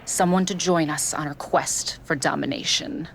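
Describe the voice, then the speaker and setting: A woman's voice: sits low, moderate pace, vocal fry, slight background noise. An actress playing a menacing, seductive female villain wrestler in a video game, with a sly, taunting manner. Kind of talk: scripted video game cutscene acting.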